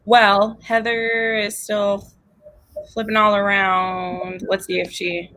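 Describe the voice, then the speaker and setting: Woman livestream host, bright forceful tone, playful informal chat. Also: mid-range voice, level tone, slow pace, dry recording.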